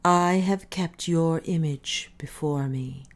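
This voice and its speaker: An anguished, worshipful man, acted by a woman narrator in an audiobook. The delivery is low and drawn out, with a quavering, reverent fervor. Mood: rapturous devotion. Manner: slow, fervent, quavering, reverent. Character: anguished male zealot in rapturous religious confession. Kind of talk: dramatic audiobook character reading.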